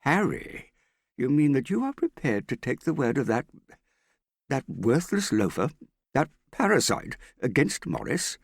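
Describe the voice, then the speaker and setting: A man's voice: deep voice, sing-song, moderate pace, creaky, slightly rough, dry recording, clean studio sound, pitched above the performer's own voice, heavily acted. A man voicing a vain, theatrical middle-aged father in an audiobook, wheedling and self-pitying with a pompous, affected way of talking. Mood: wounded, indignant. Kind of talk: audiobook character dialogue.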